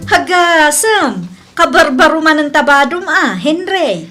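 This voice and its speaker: A suspicious, gossipy village woman played by an actress in a radio comedy. Her high voice complains in drawn-out, aggrieved phrases. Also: sing-song, over loud music. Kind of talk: comedy radio drama acting.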